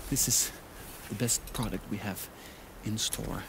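A smooth-talking salesman. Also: fairly low pitch, steady tone, a little slow, noisy background. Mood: persuasive. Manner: coaxing, theatrical, salesy. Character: smooth-talking male salesman, eager and persuasive. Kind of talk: animated film voice acting.

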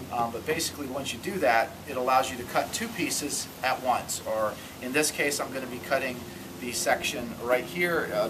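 A middle-aged man hosting a casual do-it-yourself demonstration outdoors, talking loosely with many fillers. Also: mid-range voice. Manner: loose and conversational, many ums and uhs. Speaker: DIY cockpit builder host. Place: outdoors by a garage door. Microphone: camera mic from a few metres. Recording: distant camera mic, audible ambient noise.

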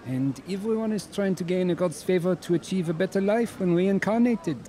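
Actor as a mellow, earnest young Frenchman. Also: high-pitched, brisk, lightly acted. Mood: weary, searching. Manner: thoughtful, sprinkles French words. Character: earnest, searching young French musician, soft-hearted and thoughtful. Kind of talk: audio drama acting.

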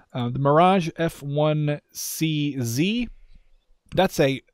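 A man's voice: mid-range voice, a little mellow, smooth, dry recording, studio quality. A man hosting a gaming video with casual, rambling and self-deprecating commentary in an informal style.

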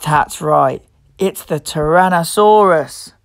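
A woman reading a picture book aloud to young children as host, expressive, playful and encouraging. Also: sits low, takes it slowly, gravelly, no echo, clean studio sound. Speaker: children's storybook reader and host.

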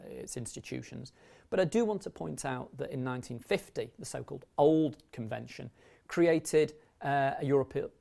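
A man in his thirties giving a formal, measured academic lecture as a university law lecturer, speaking into a lapel mic. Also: mid-range voice, vocal fry, a little mellow. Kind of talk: academic lecture, partly read from notes. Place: indoor lecture recording room, at a lectern.

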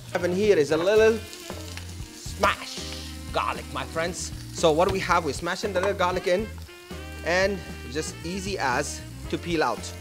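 A man in his thirties hosting a cooking show in a studio kitchen, upbeat and playful as he talks straight to the camera on a lapel mic. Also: high voice. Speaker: chef host. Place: home-style studio kitchen, indoors.

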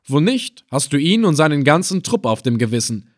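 A man's voice: fairly high pitch, very animated, moderate pace. A man voicing a proud, fearless captive adventurer in an audiobook, speaking emphatically with bold, taunting defiance. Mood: scornful, bold. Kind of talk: dramatized audiobook adventure reading.

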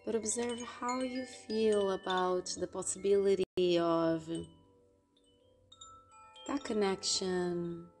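A woman hosting a guided meditation, speaking gently and unhurriedly, with long pauses between phrases. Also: mid-range voice, fairly even intonation. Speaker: meditation guide and podcast host. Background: meditation music with soft chimes.

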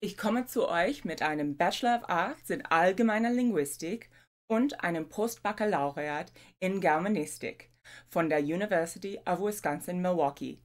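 A woman's voice: fairly low pitch, animated, moderate pace. Young woman, careful tutor. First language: English. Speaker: language tutor. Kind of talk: scripted self-introduction to camera. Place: home room with bookshelves.

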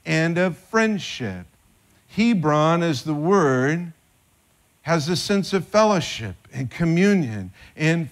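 Middle-aged pastor preaching on a church stage, slow and deliberate, kinda high voice, gets worked up. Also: male voice. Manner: animated, gesturing preacher, rises in pitch when excited. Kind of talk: sermon. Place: church stage with band instruments.

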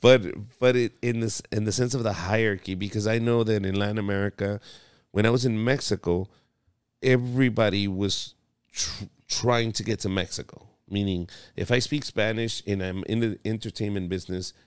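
A man's voice: on the low side, steady tone, moderate pace, clear, resonant tone, no room sound, studio quality. A man hosting a casual podcast chat, loose and profane, calling his guest bro. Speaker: podcast host and comedian.

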